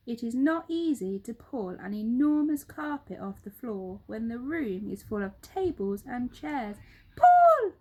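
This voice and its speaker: A woman narrating a children's storybook aloud in an expressive, dramatic manner. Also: a little high, poor audio. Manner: animated, dramatic read-aloud.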